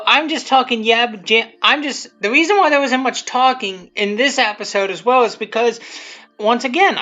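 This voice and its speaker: A person giving rambling, unscripted commentary on their own video, halting and repeating themselves, with blunt, self-deprecating swearing. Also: medium pitch, fairly bright tone. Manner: halting, repetitive, often restarting sentences. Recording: muffled lo-fi audio, music behind.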